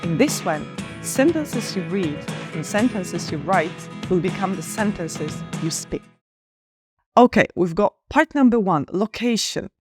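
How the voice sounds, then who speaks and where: A woman's voice: medium pitch, expressive, soft background music. Upbeat woman teaching English. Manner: clear, upbeat and encouraging, with slow stressed examples. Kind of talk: online English lesson.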